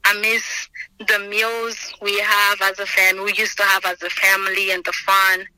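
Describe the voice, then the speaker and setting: A woman's voice: medium pitch, little pitch movement, moderate pace, pushed and bright, dry recording, low-quality recording. A woman, answering oral history questions earnestly over a remote call. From Senegal. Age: 20s. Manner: earnest, thoughtful, with frequent ums and restarts. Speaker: interviewee, student. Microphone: remote video call.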